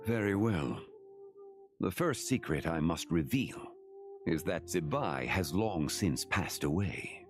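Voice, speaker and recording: A very deep, formal ancient sage, speaking with gravity yet moving through his phrases with lively rises and falls. Faint music sits under his game dialogue. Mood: measured. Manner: formal, measured and grave. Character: ancient, composed male sage and consultant.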